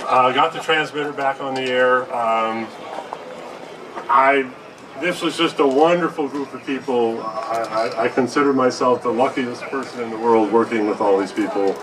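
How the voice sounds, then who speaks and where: An older man giving a halting, informal reminiscence, repeating his phrases as he goes. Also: mid-range voice, background noise. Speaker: former radio engineer, panel guest. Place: public event with audience. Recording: distant mic, outdoor crowd murmur.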